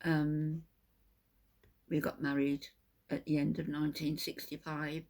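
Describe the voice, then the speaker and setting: An elderly woman, seventies or older, talks in a casual, reminiscing way. Her voice is low for a woman and heavily creaky. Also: flat delivery, measured pace, a little mellow. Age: 70s or older. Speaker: channel host.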